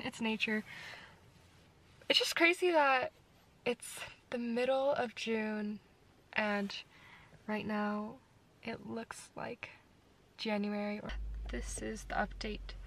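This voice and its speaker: Brief: young female vlogger, high and unhurried, casual phone-camera narration, noisy. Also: steady tone, creaky, fairly bright tone. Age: young adult. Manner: chatty, easygoing, shrugs things off. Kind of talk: casual travel vlog narration. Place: car and outdoor park viewpoints. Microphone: phone camera at arm's length. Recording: noisy handheld phone audio.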